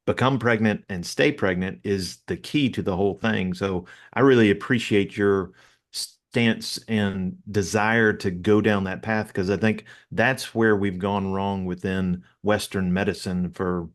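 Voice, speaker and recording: Male host, lower register; easy conversational follow-ups. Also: steady tone, unhurried. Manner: affirming, conversational. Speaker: podcast host. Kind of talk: casual interview talk.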